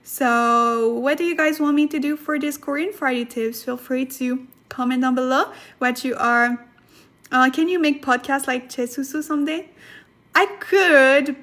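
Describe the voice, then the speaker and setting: High-voiced woman hosting a chatty live lesson. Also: steady tone, unhurried, a bit bright. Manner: chatty, laughs often, many fillers. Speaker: Korean language teacher, livestream host. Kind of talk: casual livestream lesson, reading chat comments.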